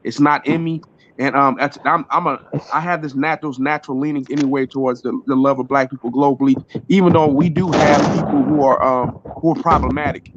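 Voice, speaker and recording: Measured man on a muffled call. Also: mid-range voice, fairly even intonation, noisy background. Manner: measured, insists on finishing his point. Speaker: guest on a call. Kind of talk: casual debate.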